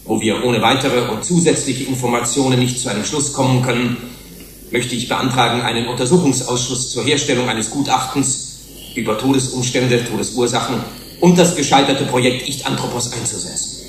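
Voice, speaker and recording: An actor playing an earnest human rights commissioner pleading before a tribunal in a deliberate, rhetorical, formal way, in a German radio drama. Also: male voice, mid-range voice, fairly even intonation, close to an everyday voice. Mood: earnest, measured. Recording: roomy hall echo, faint hiss.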